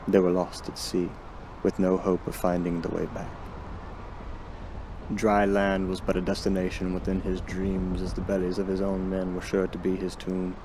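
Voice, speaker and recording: A male storyteller narrates a sinister tale in a low, creaky voice. His delivery is ominous and theatrical yet stays in a narrow pitch range, with music underneath. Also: noisy background. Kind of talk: dramatic scripted horror narration.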